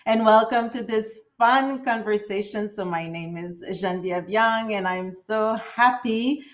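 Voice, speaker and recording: A woman's voice: mid-range voice, measured pace, highs cut off. A woman with a French Canadian accent hosting an interview, encouraging her guest with affirming murmurs. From Quebec and Trinidad parents. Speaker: host.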